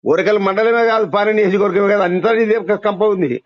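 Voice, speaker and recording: Fast, high-voiced Rayalaseema man on muffled phone. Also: steady tone. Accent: Rayalaseema Telugu. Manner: emphatic, complaining, colloquial rural speech. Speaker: local party leader being surveyed by phone. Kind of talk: candid phone conversation, airing grievances. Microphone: telephone. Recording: muffled lo-fi leaked phone call.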